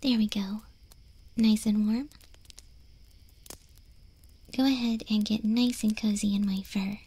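A woman playing a proud, caring young wolf girl in a soft, breathy whispered ASMR roleplay. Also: medium pitch, fairly even intonation, slow pace. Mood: cozy, pleased.

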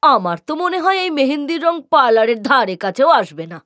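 A woman's voice: high voice, sing-song, brisk, a bit bright, dry recording, studio quality. A woman voicing a haughty, dismissive well-off young woman in a cartoon story.

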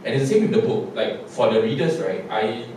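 A man's voice: mid-range voice, animated, brisk, darkish tone. A man, a playwright, talking through his writing at a post-show panel on a theatre stage, loose and reflective with many fillers and restarts. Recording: echoey hall, distant mic, noise.

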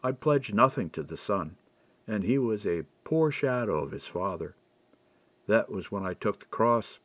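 A man's voice: on the low side, expressive, unhurried, a bit bright, dry recording. A man reading audiobook dialogue as a seasoned, frank and reflective man-at-arms. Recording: dull, lo-fi dry recording.

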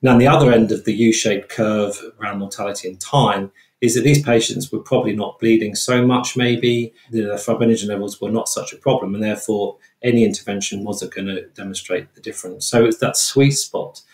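A British man, a trauma researcher, explaining findings in an interview in a measured, technical way, with a roomy sound. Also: lowish voice, fairly even intonation, darkish tone.

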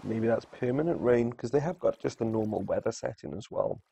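Guy casually talking thru a game, real crackly fry in his voice, kinda mellow, thinking out loud as he goes. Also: medium pitch, brisk. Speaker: gamer presenting a video walkthrough. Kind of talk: casual unscripted game tutorial.

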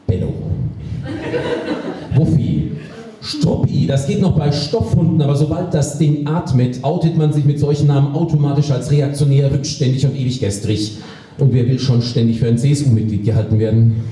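A man performs a humorous spoken-word text on stage, deadpan, with theatrical pauses and emphasis. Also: mid-range voice, crowd noise. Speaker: poetry slam performer. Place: small club stage with live audience. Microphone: stand microphone on stage, picked up by distant camera. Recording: very echoey hall, distant camera microphone, audience.

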